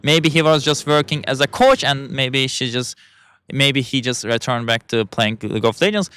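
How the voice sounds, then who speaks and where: Bright-voiced Turkish man, halting English. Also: medium pitch, smooth. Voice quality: halting, searching for English words. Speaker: vlogger. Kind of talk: casual monologue.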